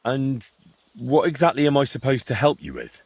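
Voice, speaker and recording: Blunt, husky young medieval adventurer. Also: male voice, mid-range voice, sing-song, normal speed, a little mellow, full character voice. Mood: wry. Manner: blunt, questioning. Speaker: video game protagonist. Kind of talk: game cutscene dialogue.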